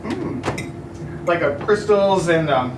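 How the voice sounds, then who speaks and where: A man's voice: high-pitched, expressive, slow pace. A man playing a wry, questioning young man on stage. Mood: amused. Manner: probing, amused questions. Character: curious, wry young man without religion. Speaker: stage actor. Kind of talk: stage acting. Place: theater stage. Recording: echoey stage, from far off, noisy.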